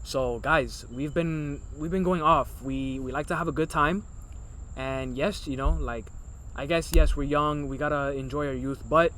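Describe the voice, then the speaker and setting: Young podcast co-host from Miami, early twenties, loose and rambling, light noise underneath. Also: male voice, medium pitch, a bit bright. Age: early 20s. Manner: loose, rambling, addresses viewers as guys. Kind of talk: casual podcast chat.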